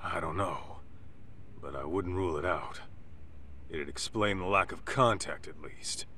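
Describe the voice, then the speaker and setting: Gruff, slow-talking street-tough detective. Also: male voice, sits low, sharp tone, a little hiss or hum. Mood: wary. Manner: blunt, slangy, streetwise. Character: gruff, street-tough middle-aged detective, slangy and blunt. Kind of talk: video game voice acting.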